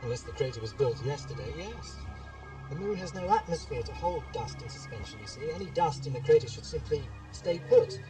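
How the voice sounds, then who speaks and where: A man's voice: mid-range voice, big pitch swings, fairly quick, lightly acted. A man playing a curious, eccentric time-travelling scientist in an audio drama, wordy and wry as he explains things. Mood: curious, musing. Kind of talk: 1980s amateur audio drama acting. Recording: distant voice under loud music.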